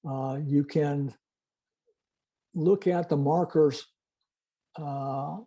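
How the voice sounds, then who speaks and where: An older man giving an informal technical presentation and demonstration, explaining methodically in a halting way. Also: fairly high pitch, steady tone, dark tone. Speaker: club presenter, retired-style veteran telephony engineer.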